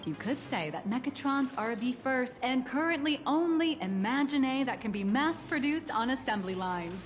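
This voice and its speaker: An actress playing a polite, enthusiastic tour guide in a video game, explaining things in a formal, scripted way. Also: female voice, high voice, animated, normal speed. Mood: informative. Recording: music behind the voice.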